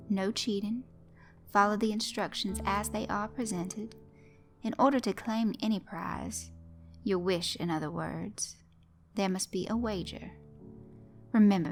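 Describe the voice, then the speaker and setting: A woman's voice: medium pitch, lightly acted. A woman voicing a formal, ominously polite male master of games who speaks with precise courtesy.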